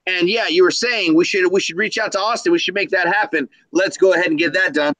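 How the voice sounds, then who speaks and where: Man, bright forceful voice, fairly high pitch, slangy upbeat live-stream host, dry close sound. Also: fast, no room sound. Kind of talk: casual live-stream chat with shout-outs.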